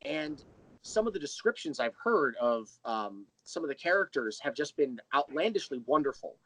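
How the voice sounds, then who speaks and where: A man's voice: mid-range voice, very animated, normal speed, fairly bright tone, no echo, poor audio. Enthusiastic man explaining as interview guest. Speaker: interview guest, audio drama writer and creator. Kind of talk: casual interview chat.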